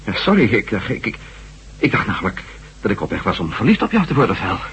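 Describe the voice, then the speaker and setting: An actor playing a skeptical, persistent former astronaut turned reporter in a Dutch radio drama, sometimes halting and stammering. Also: male voice, on the low side, wildly swooping pitch, a bit bright, slight background noise. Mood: uneasy, halting.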